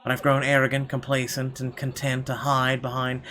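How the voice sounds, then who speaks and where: A man's voice: medium pitch, monotone, moderate pace, bright, forceful tone, slight background noise. A man reading first-person narration from a visual novel aloud in a dramatic storytelling style.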